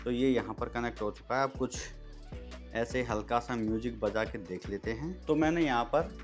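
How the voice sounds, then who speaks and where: A man's voice: mid-range voice, normal speed, soft background music. A man giving a casual, step-by-step product review, addressing viewers as friends. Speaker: product reviewer and video host.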